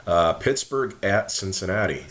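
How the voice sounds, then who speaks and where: A very deep-voiced man with a hoarse, worn voice hosts a casual sports chat. He keeps it laid-back, joking with his co-host as he runs through the games. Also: animated, unhurried, fairly bright tone. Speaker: podcast host.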